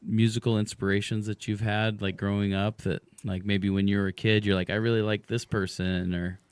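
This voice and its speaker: Hoarse low-voiced radio host. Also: male voice, fairly quick, no echo. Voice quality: hoarse from smoke. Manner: rambling, relaxed, many fillers. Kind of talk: casual radio interview chat. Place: radio studio.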